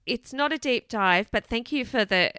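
A woman's voice: fairly high pitch, animated, moderate pace, bright, forceful tone, smooth, no room sound, studio quality. A woman co-hosting a casual comedy podcast, bantering in a playful way. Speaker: podcast co-host.

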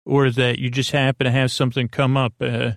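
A man hosting a bedtime podcast in a creaky, lulling voice, rambling and stammering through meandering tangents and false starts. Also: mid-range voice, flat delivery, a little fast, no echo, clean studio sound. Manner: soothing, lulling. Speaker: podcast host.

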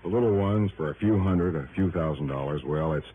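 An actor playing a casual, sharp insurance bureau manager of about forty in a 1960s radio drama, chatty and explanatory. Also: male voice, sits low, brisk, natural voice. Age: middle-aged. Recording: noisy, muffled old radio recording.

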